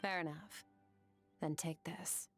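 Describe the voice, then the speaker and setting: A woman's voice: low voice, steady tone, slow. A woman playing a stoic, aloof young warrior in a video game, speaking in a composed, blunt, matter-of-fact way. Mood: cool, composed. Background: background music.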